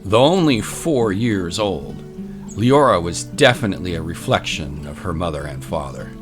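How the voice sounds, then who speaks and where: A man with a low voice narrates a story in measured, literary phrases. Guitar music plays clearly behind the scripted narration. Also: lively intonation.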